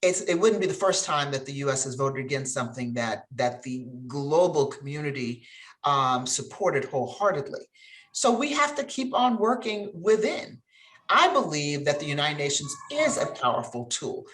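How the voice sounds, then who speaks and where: A woman's voice: low voice, very animated, moderate pace, fairly bright tone. A woman from Switzerland speaking as a panelist in an earnest, emphatic, conversational way. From grew up in Geneva, Switzerland.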